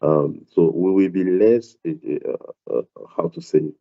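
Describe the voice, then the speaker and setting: A man's voice: low voice, a little slow, gravelly. A man lecturing a maths class over a video call, speaking English with a non-native accent and stumbling through lots of repeated words and fillers. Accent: non-South African, foreign to English. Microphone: computer mic on a video call. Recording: dull lo-fi online audio.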